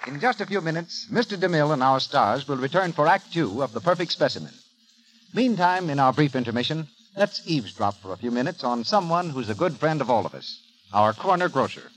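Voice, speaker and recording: A man announcing in scripted 1930s radio style, delivering a polished sales pitch. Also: expressive, fairly quick, fairly bright tone. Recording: noisy old 1930s radio recording.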